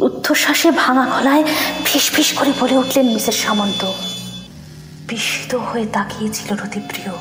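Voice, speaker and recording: A woman narrating a horror audio story in an expressive, suspenseful way, sometimes dropping to a whisper. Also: on the high side, moderate pace. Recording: echoey reverberant studio mix with music.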